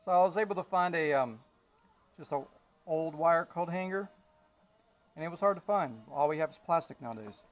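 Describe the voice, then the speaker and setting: A man giving a casual DIY tutorial as he works, thinking aloud in an informal, halting way. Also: fairly high pitch. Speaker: DIY car vlogger. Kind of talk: casual hands-on installation tutorial, talking while working.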